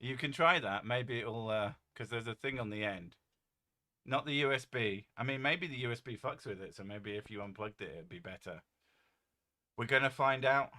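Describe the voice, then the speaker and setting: A man with a deep, boomy voice chats casually on a home stream, joking and rambling with the odd curse. His tone is bright and forceful, and his microphone sits well above his head, so he sounds a little set back from it. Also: moderate pace. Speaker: streamer host. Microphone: desk mic set well above his head.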